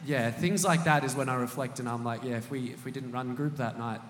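A man's voice: medium pitch, fairly quick. A man who leads a small church group, sharing stories in a casual panel chat with lots of ums and restarts. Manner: storytelling, hesitant fillers. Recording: echoey hall with long reverb.

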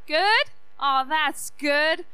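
A young woman from South Africa with a loud, booming voice, giving an earnest personal testimony at a church youth night. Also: lively intonation, drawn-out words. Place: church hall.

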